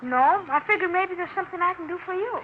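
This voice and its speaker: High-voiced, earnest American little boy. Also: fast talker. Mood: eager. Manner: insistent, plain-spoken, slangy. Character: earnest, streetwise seven-year-old boy witness. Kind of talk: 1950s radio drama acting. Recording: muffled, hissy 1950s radio recording.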